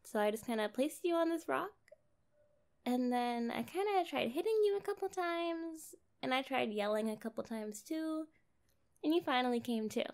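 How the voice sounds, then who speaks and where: A woman's voice: high voice, clear, resonant tone. A woman voicing a kind, naive young mermaid in an ASMR roleplay, playful and chatty as she speaks straight to the listener. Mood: chatty, reassuring. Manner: playful, chatty, expressive, drawn-out phrasing.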